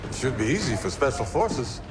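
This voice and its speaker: Man playing a smooth, lively rebel general in an echoey hall. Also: mid-range voice, a little fast, over loud music, natural voice. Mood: confident, persuasive. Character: suave, charming rebel general, easygoing gambler. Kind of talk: video game cutscene acting.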